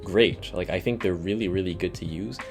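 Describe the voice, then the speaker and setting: Low-voiced man explaining casually. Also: fairly quick. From United States. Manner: relaxed, conversational, many fillers like "you know". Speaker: language learning YouTuber. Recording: soft guitar music underneath.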